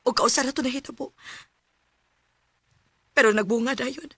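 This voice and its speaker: A woman's voice: fairly high pitch, fairly even intonation, normal speed, a bit bright, slightly rough, no echo, studio quality. A woman with a Cebuano accent playing a remorseful young single mother in a radio drama. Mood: subdued. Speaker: former lover returned home.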